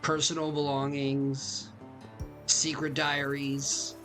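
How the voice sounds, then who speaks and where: A man's voice: mid-range voice, monotone, takes it slowly, fairly bright tone, soft background music. A man streaming a game, giving casual, joking, slangy commentary as he plays.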